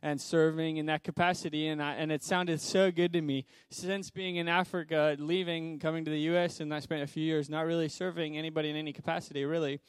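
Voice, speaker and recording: A young man from Zimbabwe, a missionary guest speaker, giving an upbeat personal testimony in a church in a brisk, preacherly way. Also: fairly high pitch, little pitch movement, smooth, no room sound.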